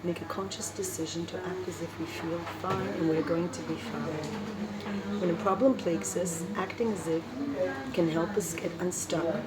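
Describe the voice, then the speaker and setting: A woman with a loud voice reading and talking casually about recovery, laughing between points. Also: on the low side, steady tone, moderate pace, very noisy. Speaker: recovery vlogger. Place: coffee shop. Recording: loud music in a coffee shop.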